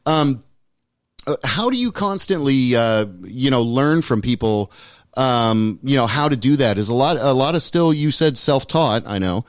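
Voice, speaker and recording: A man's voice: medium pitch, lively intonation, a little slow, no echo. Male host, casual and rambling, dry studio-close voice. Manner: easygoing, loose questions with fillers. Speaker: podcast host. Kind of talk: casual interview chat.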